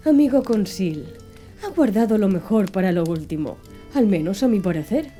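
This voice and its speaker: A woman voicing a blunt, plain-spoken male Canadian harpooner in an audiobook, with a gruff, mocking way of talking. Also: medium pitch, lively intonation, normal speed, dark tone, soft background music, lightly acted. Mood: wry.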